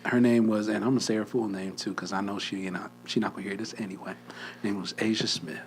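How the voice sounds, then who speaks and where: A man's voice: fairly low pitch, fairly even intonation, fast, slight background noise. A young man co-hosting a podcast, telling a story in a casual chat, often dropping to a near whisper and laughing. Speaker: podcast co-host.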